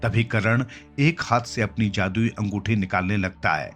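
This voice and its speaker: A man with a fairly low voice tells a scripted children's fantasy tale. He is the narrator, and music plays clearly behind his words. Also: steady tone, moderate pace, fairly bright tone. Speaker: story narrator. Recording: clear music bed under the voice. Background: background music.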